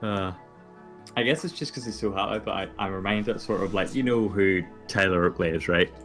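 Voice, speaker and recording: A man's voice: on the low side, fairly quick, a bit bright, soft background music. A young man from Finland with a Finnish accent, co-hosting a casual chat, rambling and swearing between laughs. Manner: rambling, profane, laughs often. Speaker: podcast co-host.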